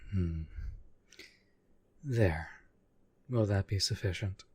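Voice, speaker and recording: Male actor as a lovestruck demon servant, low-pitched, unhurried, courtly, breathy, intimate roleplay audio. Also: a little mellow, no echo, exaggerated voice. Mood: tender. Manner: slow, formal, courtly phrasing. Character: devoted, lovestruck male demon bound to a summoner.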